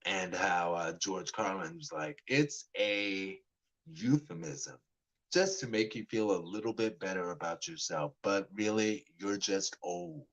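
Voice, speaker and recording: A man's voice: sits low, big pitch swings, measured pace, vocal fry, fairly bright tone, low-quality recording. A man of about forty telling a story in a casual monologue, rambling and restarting his sentences often, and acting out both sides of conversations. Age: 40s. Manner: rambling, many restarts and stammered repeats. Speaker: vlogger.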